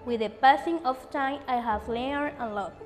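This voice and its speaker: A woman's voice: sits high, moderate pace, faint music behind. A woman from Ecuador giving a simple, recited student testimonial. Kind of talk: prepared testimonial.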